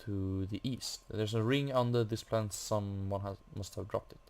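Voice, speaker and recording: Low, flat-toned man doing game commentary. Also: moderate pace, smooth. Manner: hesitant, muttering to himself. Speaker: gaming video host. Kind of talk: casual game walkthrough commentary, reading on-screen text. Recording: faint noise from a fan. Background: computer or room fan.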